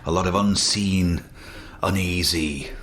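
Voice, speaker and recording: A man's voice: deep voice, slow, a bit bright. A man giving reflective, enthusiastic commentary on the episode.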